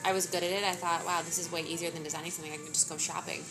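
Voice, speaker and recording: A woman in her thirties, a business founder interviewed as a guest, talking candidly with a dry, relaxed humor. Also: on the low side, racing, music in the background, poor audio. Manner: relaxed, candid, dryly funny. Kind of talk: casual interview.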